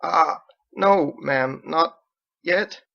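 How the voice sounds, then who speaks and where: A grown son in a story, played by a male reader, dour and curt. He keeps his words stiffly polite and restrained, with some creak, on a slightly muffled recording. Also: on the high side, very slow, pushed and bright, pitched above the performer's own voice, full character voice. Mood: awkward, polite.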